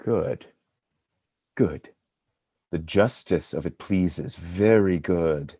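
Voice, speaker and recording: A man playing a tormented, jealous general and husband in a Shakespearean radio drama, husky and dramatic, swinging between brooding and outcry. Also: deep voice, extremely slow, dark tone, no echo, exaggerated voice. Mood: cold vengeance.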